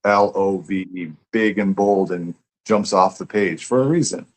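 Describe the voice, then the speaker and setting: A man's voice: low voice, a little slow. A man talking casually and reflectively as a guest author, earnest and repeating words as he thinks aloud. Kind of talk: casual, reflective interview chat.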